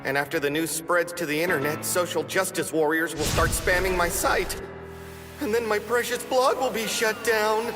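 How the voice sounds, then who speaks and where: A man's voice: high-pitched, fairly even intonation, normal speed, reverberant, over music, close to an everyday voice. An actor playing a young male teacher in an English anime dub, theatrical, sarcastic and defiant. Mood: panicked. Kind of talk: animated anime dub acting.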